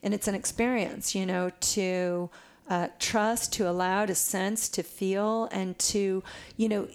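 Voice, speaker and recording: A woman who works as a body worker, speaking in casual conversation with a raspy voice and a reflective manner full of fillers. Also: mid-range voice, fairly even intonation, measured pace.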